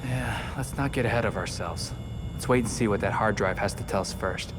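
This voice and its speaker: A man's voice: lowish voice, a little fast, noisy background, lightly acted. An actor playing a level-headed, lightly wry young male vigilante team leader in a video game. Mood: steady, reassuring. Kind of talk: video game cutscene acting.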